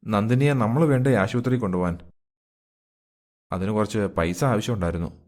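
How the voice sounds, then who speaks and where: A man's voice: fairly low pitch, normal speed, a little mellow, lightly acted. A man playing an earnest, insistent middle-aged father and husband in a moral story. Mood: pleading. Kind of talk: acted dialogue in a moral story.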